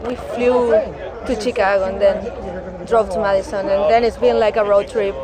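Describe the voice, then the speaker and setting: A woman's voice: medium pitch, steady tone, moderate pace. A woman from Chile, giving casual, loose answers in a street interview. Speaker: interviewed concert fan. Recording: heavy crowd noise outdoors.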